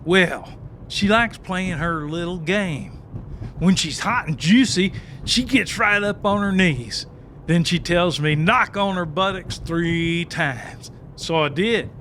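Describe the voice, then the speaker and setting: A high, bright male voice, slow-paced, for a cocky country sidekick in an audiobook drama. He is folksy, crude and blustering. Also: slight background noise. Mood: boastful.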